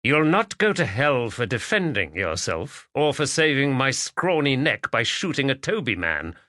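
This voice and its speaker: A man playing a composed, gallant English gentleman detective, firm, measured and courteous. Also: mid-range voice, very animated, no echo, studio quality, pitched above the performer's own voice. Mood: reassuring. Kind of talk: audiobook character voice.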